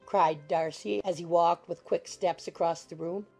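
A woman's voice: on the low side, steady tone, measured pace, darkish tone, faint music behind. A woman narrating a literary audiobook from a script.

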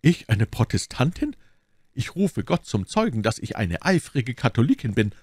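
A man's voice: mid-range voice, big pitch swings, normal speed, a little mellow, husky, dry recording, studio quality, pitched above the performer's own voice. A man voicing a scheming noblewoman who feigns innocence in an audiobook, with polished, formal, artfully naive speech. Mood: indignant piety. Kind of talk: audiobook character dialogue.

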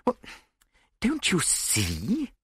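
An earnest, impatient gentleman sidekick , voiced by a man reading a story. He sounds urgent but polite, with hugely varied intonation in a dry, close recording. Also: high-pitched, wildly swooping pitch, very slow, breathy edge, no echo, pitched above the performer's own voice, exaggerated voice.

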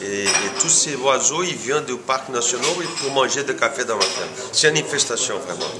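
Brazilian guy in his 40s, medium pitch, halting second-language talk in an interview, noisy room. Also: lively intonation. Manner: halting, word-searching non-native French with repeated words. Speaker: coffee farm owner being interviewed. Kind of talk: informal interview. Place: indoor room, seated before camera. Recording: clearly noisy room ambience.

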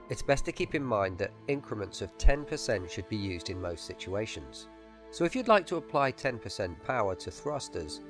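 Male tutorial narrator for game add-on, scripted explanatory read, animated intonation, music underneath. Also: mid-range voice. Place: voice-over with music behind.

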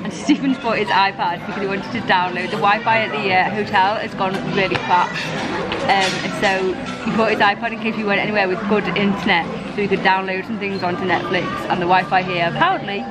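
A woman's voice: medium pitch, steady tone, fairly quick, loud music behind the voice. An English woman in her thirties with an English accent, chatting casually and informally as a travel vlogger. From England. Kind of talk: casual vlog chat. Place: open-sided farm shelter and village streets. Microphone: handheld camera at arm's length. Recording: busy public places with loud background noise. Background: crowd chatter, ambient noise, traffic.

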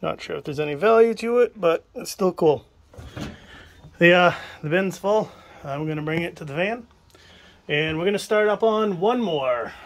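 A man's voice: high voice, expressive, moderate pace. A man filming himself with a handheld phone while he picks through a cramped wooden shed, musing aloud to viewers in a casual way. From New England. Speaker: estate sale buyer filming a shed cleanout. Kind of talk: casual running commentary while picking items. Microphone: handheld phone camera.

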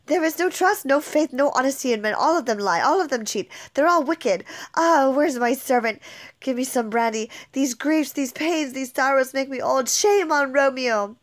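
A woman reading a play aloud and voicing an old, loyal nurse who laments loudly and fusses over her young mistress. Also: high voice, measured pace. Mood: lamenting. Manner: loud lamenting. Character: old female nurse, loyal, wailing, fussy.

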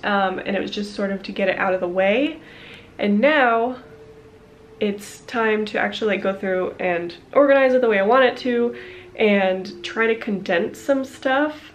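A woman's voice: medium pitch, unhurried, slight background noise. Congested young woman rambling in vlog. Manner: rambling, many fillers like "um" and "like". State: congested, short of breath. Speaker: vlogger. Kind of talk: casual vlog chat. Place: small home office, indoors.

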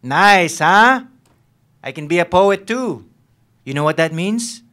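A young man on stage acts out a volatile Filipino police lieutenant with a somewhat high male voice. He interrogates in a needling, bullying way, dropping in Tagalog slang, as part of a dramatic novel reading. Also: expressive, takes it slowly, pushed and bright, exaggerated voice. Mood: taunting. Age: young adult. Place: on stage at a live reading event. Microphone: microphone on a stand.

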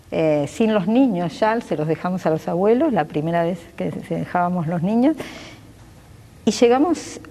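A woman in her sixties speaks with an Argentine accent, her voice low and warm. She answers a television interviewer earnestly and fluently, gesturing as she talks. The sound carries a lingering reverb from a glass-walled room. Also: a little hiss or hum. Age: 60s. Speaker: physician and local politician, interview guest. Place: glass-walled pavilion with garden behind.

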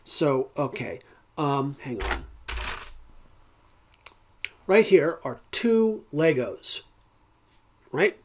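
A man's voice: fairly high pitch, big pitch swings, extremely slow, long pauses, a little hiss or hum. A middle-aged man teaching a recorded philosophy lecture from his home study, thinking aloud with frequent ums and uhs, speaking into a desk webcam. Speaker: philosophy instructor.

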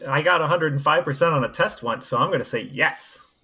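A man chatting casually as a podcast co-host, with a wry, deadpan manner. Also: on the high side, fairly quick, slightly rough.

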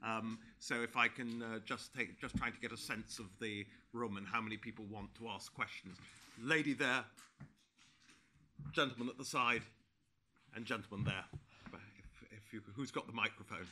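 A man chairing a conference Q&A, brisk and wry as he directs the floor. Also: lowish voice, fairly even intonation, sharp tone, slight background noise. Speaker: panel chair. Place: conference room.

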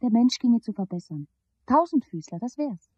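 A woman's voice: fairly high pitch, expressive, moderate pace, darkish tone, breathy edge, dry recording. An actress playing a dreamy, tender woman recalling a strange past in a radio play. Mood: musing. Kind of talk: 1970s German radio play acting. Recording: dull, lo-fi old radio recording.

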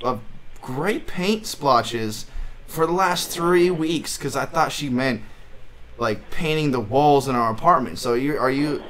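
A man in his twenties making a deadpan prank phone call, sounding puzzled, hesitant and naive. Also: mid-range voice, measured pace. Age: 20s. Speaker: prank caller. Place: small indoor studio room.

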